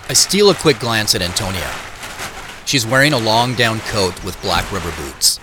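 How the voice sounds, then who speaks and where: An actor playing an observant, wistful grown man, narrating his story in a measured first person for an audio drama. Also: fairly low pitch, lively intonation, background noise, natural voice. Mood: quietly observant. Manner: measured, descriptive. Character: Joseph, observant, wistful grown man back visiting a farm.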